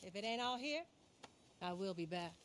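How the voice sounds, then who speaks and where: A woman's voice: medium pitch, animated, moderate pace, a little hiss or hum, natural voice. Woman acting a swaggering Old West bounty hunter. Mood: menacing. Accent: American. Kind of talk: dramatic game cutscene acting. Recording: music under the voice.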